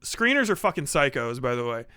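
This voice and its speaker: A man giving profane, casual livestream commentary, rambling and thinking out loud as he goes. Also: mid-range voice, big pitch swings, a little fast, clean tone, no echo, clean studio sound. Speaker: live streamer reacting to videos.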